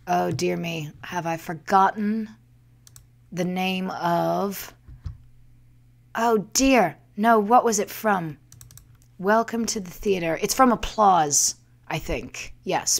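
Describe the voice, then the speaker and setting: A woman's voice: lowish voice, creaky. A woman livestream host and performer chatting casually and theatrically into a desktop microphone, with a smoky voice that is a bit worn from the day before. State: voice rough the day after a livestream. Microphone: Yeti desktop microphone.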